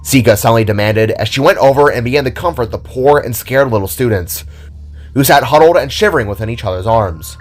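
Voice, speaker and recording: A man's voice: mid-range voice, lively intonation, a little fast, soft background music. A man narrating a scripted story in a dramatic style.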